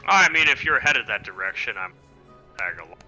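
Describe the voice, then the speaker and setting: A man with an extremely bright voice and animated intonation plays a tabletop game. He feels his way through his actions in casual talk. Faint music sits behind him. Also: medium pitch, a little fast. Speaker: player in a tabletop fantasy roleplaying game. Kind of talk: casual roleplay chat.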